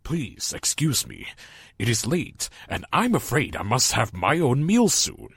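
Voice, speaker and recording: Gravelly, slow old stallion servant. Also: male voice, medium pitch, huge pitch swings, a bit bright, no room sound, clean studio sound, voice pushed up, full character voice. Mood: hesitant, deferential. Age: older. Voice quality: gravelly, raspy. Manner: slow, halting, formal and courteous. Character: kind elderly stallion servant, timid, humbly deferential. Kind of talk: acted character voice in a story reading.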